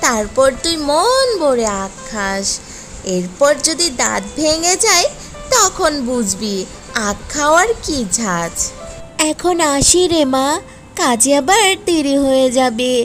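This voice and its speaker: A woman's voice: high voice, sing-song, slow, fairly bright tone, full character voice. A woman voicing a caring, worried fox mother who works as a maid, in a cartoon story. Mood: affectionate. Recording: music under the voice.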